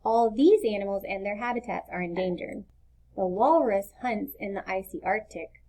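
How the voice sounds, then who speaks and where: A woman's voice: medium pitch, moderate pace, background noise, lightly acted. A woman reading the part of a helpful, instructive male schoolteacher in a children's storybook. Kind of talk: children's storybook read-aloud.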